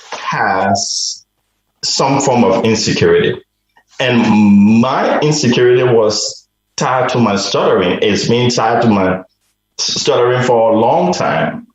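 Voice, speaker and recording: A man from Liberia, a guest on a radio interview, telling a personal story slowly and reflectively, often restarting his sentences. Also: mid-range voice, heavy reverb, low-quality recording. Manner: slow, reflective, with repeated restarts.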